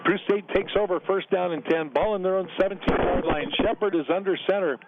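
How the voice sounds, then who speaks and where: A man calling a college football game on radio from a stadium press box, brisk on the plays and relaxed when chatting with guests. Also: high-pitched, loud music behind the voice. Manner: brisk play calls mixed with relaxed booth chat. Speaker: radio play-by-play announcer. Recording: narrow phone-like radio broadcast.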